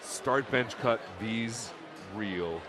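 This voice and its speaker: A man hosting an interview, asking questions in an enthusiastic way and chiming in with quick agreement. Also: lowish voice, music in the background. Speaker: talk show host.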